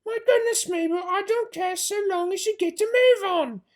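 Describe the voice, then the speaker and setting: A reader voicing a bossy farmer's wife who barks orders from upstairs, sharp and scolding. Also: female voice, extremely high pitch, moderate pace, voice pushed up. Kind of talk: storybook read-aloud.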